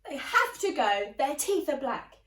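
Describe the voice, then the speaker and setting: A woman's voice: sits high, big pitch swings, a little slow, a bit bright, slight background noise, exaggerated voice. A woman voicing disapproving, snobbish seaside neighbours, complaining primly in storybook rhyme. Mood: scandalised. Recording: roomy, distant microphone.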